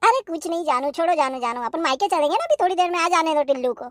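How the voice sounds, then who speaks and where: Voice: extremely high pitch, expressive, quick pace, no echo, clean studio sound. A performer voicing a henpecked, wisecracking young husband in a cartoon comedy, placating and grumbling. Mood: nervous placating. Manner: placating, mock-poetic, grumbling.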